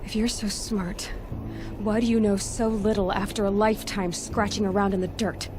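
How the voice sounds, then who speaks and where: A woman's voice: medium pitch, flat delivery, moderate pace, music in the background, natural voice. An actress playing a headstrong young woman adventurer in a video game cutscene, speaking bluntly and with determination. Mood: angry, defiant.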